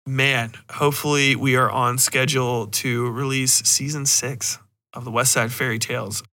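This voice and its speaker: A man's voice: medium pitch, bright, forceful tone. A man hosting a podcast, talking casually in a rambling, self-deprecating way. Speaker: podcast host.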